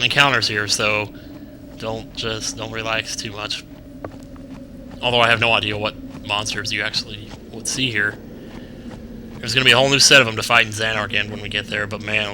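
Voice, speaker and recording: A man's voice: fairly low pitch, flat delivery, normal speed, pushed and bright, a little hiss or hum, low-quality recording. A young American man giving casual, rambling let's play commentary with wry asides. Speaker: gaming video host. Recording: game music behind the voice. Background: video game music.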